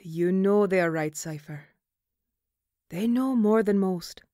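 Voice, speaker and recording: A woman playing a stern, duty-bound banshee mother in an audio roleplay, speaking formally and gravely. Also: medium pitch, takes it slowly, warm tone, no echo, studio quality, lightly acted.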